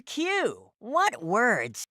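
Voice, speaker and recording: A cheerful, curious puppy from a preschool learning cartoon, acted by a woman. The delivery is slow and sing-song, with huge swings in pitch, as if teaching small children. The recording is dry and close. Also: huge pitch swings, bright, forceful tone, no echo, full character voice. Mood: playful, curious. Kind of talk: scripted children's educational cartoon dialogue.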